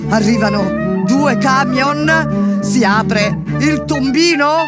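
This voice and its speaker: An actress playing a grave, impassioned survivor in a dramatic stage narration, speaking solemnly and deliberately with weighty pauses. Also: female voice, sits high. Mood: tense. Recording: loud plucked-string music behind. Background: loud music with plucked strings, guitar.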